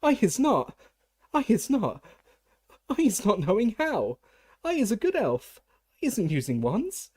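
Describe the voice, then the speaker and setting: A man's voice: sits high, huge pitch swings, a little slow, long pauses, a little mellow, husky, voice pushed up, full character voice. A man voicing a small, terrified female house-elf servant who squeals, stammers and pleads in broken grammar. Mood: squealing terror. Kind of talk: audiobook reading.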